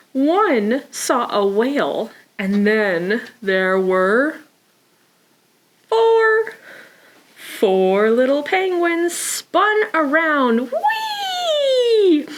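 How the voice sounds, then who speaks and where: A woman reading picture books aloud for a preschool storytime, speaking expressively and simply, as if to young children. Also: sits high. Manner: expressive, with sighs, gasps and exclamations; simple child-directed phrasing. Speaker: children's librarian storytime presenter.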